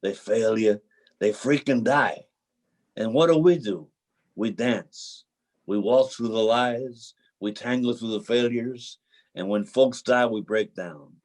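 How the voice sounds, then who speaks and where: A man's voice: mid-range voice, slow, darkish tone, close to an everyday voice. A man playing a homeless drifter in a play reading, a cynical, bruised romantic who tells his story in a folksy, dramatic way. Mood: bitter, heartbroken. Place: remote video call reading.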